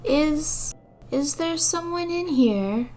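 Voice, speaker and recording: Woman voicing an earnest, high-pitched little boy. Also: lively intonation, slow, darkish tone, soft background music. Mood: cautious. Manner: eager, earnest, a bit haughty. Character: lonely, earnest, proud six-year-old boy.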